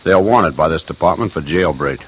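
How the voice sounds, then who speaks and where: A man's voice: a bass voice, fairly quick, very noisy. A man reading a formal, matter-of-fact official radio bulletin. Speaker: bulletin reader.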